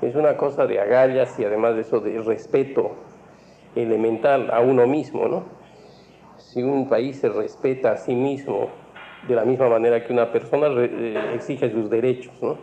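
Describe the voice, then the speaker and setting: A man with a Peruvian accent giving a formal, halting explanation as an interviewed economist. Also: mid-range voice, steady tone, warm tone. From Peru. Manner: halting, hesitant, formal wording. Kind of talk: spoken explanation. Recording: muffled, noisy lo-fi interview recording.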